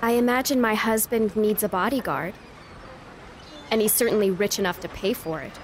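A woman's voice: medium pitch, moderate pace, a little hiss or hum, lightly acted. A woman playing a naive but determined young noblewoman in an audio drama. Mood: composed, shrewd. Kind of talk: full-cast audio drama acting.

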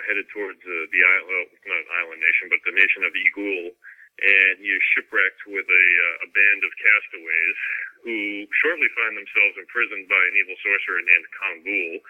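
Low-voiced man on a tinny phone line. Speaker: interviewed fantasy novelist and martial artist. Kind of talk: casual interview chat. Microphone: telephone.

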